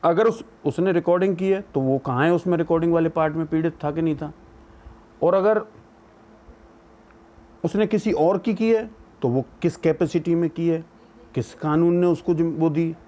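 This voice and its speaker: A man's voice: a little high, moderate pace, pauses often, warm tone. A man giving an explanatory legal commentary, arguing through rhetorical questions and mixing in English legal terms. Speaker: legal educator giving opinion.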